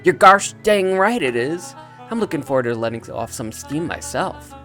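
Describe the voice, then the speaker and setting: A man's voice: a little high, very animated, moderate pace, sharp tone. A man voicing a folksy, glad-handing middle-aged company boss, a cartoon animal in a storybook game. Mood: hearty, jovial. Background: game music.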